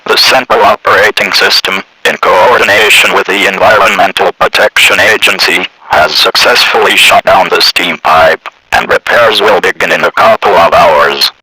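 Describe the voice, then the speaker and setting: A low, robotic man's voice reads formal emergency bulletins. The tone is intensely bright and hard-edged, with a rough, husky rasp. It stays impersonal and scripted throughout. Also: normal speed, long pauses, poor audio. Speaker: emergency alert announcer. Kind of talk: scripted civil emergency message.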